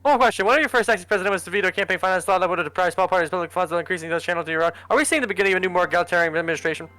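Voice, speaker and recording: A man's voice: high voice, very fast, bright, forceful tone, no room sound. A man voicing a pushy female journalist in a game, firing insistent questions. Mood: pushy, urgent.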